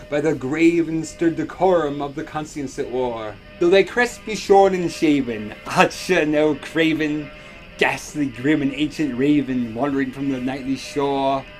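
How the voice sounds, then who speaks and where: A young man giving a theatrical, brooding recitation of gothic horror poetry, moving between hushed and loud delivery. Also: mid-range voice. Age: young adult. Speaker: performer reciting a poem. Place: furnished basement living room.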